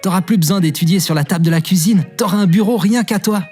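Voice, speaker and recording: A goofy, self-deprecating young man, played by an actor, both narrating and living his story in an audio drama. He sounds devoted and sincere. Music is clearly present under the voice. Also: high voice, fast talker, darkish tone, lightly acted. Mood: excited. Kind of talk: fiction podcast acting with narration.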